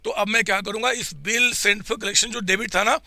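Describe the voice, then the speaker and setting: A man teaching accounts in a live online class, with a slightly hoarse throat, quizzing his students and answering for them in a coaxing way. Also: sits high, steady tone, moderate pace, sharp tone, no room sound.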